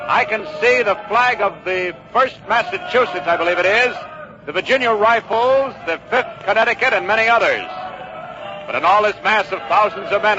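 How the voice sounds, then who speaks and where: An actor playing a persistent American field correspondent in a dramatized 1940s radio newscast, speaking crisply and descriptively. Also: male voice, high-pitched, close to an everyday voice. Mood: excited, then expectant. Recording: dull vintage radio recording.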